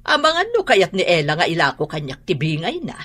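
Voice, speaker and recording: A woman's voice: fairly low pitch, huge pitch swings, brisk, fairly bright tone, full character voice. A woman playing an exasperated, nagging mother in a radio drama, with a northern Philippine accent. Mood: curious.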